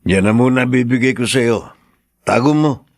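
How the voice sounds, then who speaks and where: A man's voice: mid-range voice, normal speed, creaky, no echo, clean studio sound, lightly acted. An actor playing a middle-aged working-class father in a radio drama, hot-headed yet doting, with a pleading, blustering manner. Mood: remorseful, tender.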